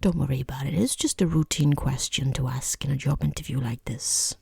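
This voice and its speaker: A person voicing a rude, condescending boss in a soft-spoken ASMR roleplay, with a breathy half-whisper, hesitant pauses and dryly mocking, falsely polite understatement. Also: mid-range voice, dry recording, studio quality, full character voice. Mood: probing, dry. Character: rude, condescending boss conducting a job interview.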